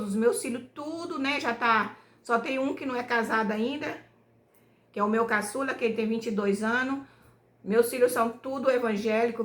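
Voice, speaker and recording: A middle-aged woman from Bahia, telling her life story to her viewers in a homespun, rambling way, tagging nearly every phrase with "né?". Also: a little high. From Bahia, Brazil. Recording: echoey room.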